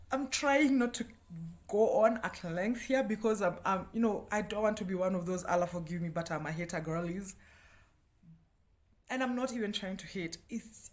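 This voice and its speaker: A woman in her thirties with a Kenyan accent, talking casually and animatedly as a book reviewer, candid and self-deprecating with playful asides. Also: mid-range voice. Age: 30s.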